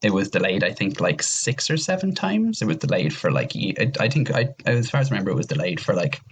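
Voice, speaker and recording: A man's voice: low-pitched, sing-song, quick pace, breathy edge. A man in a casual podcast discussion, rambling and emphatic, opinionated and given to mild swearing. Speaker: podcast panelist.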